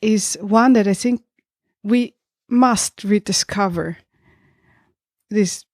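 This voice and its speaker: A woman with a mid-range voice talks reflectively in a podcast discussion. The sound is dry and close, and she feels her way through each thought, pausing in the middle of phrases. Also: medium pitch, a little mellow, no echo. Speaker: podcast speaker.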